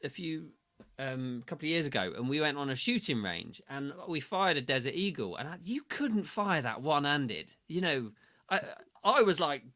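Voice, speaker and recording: A man hosting a casual interview, chatty and quick to laugh. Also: on the high side, sing-song, sharp tone. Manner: chatty, laughs easily, says "mate". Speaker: podcast host.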